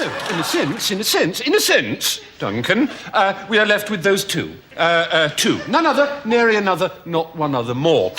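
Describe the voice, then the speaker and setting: An actor playing a pompous, rambling middle-aged pundit who talks about language in florid, grandiose phrases. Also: male voice, on the high side, pushed and bright. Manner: florid, grandiose, self-delighted. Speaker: sketch comedy character. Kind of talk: studio sketch comedy.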